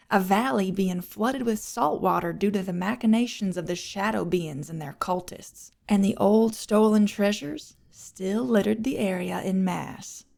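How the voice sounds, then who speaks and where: A woman's voice: mid-range voice, vocal fry. A woman narrating an audiobook, reading scripted fiction.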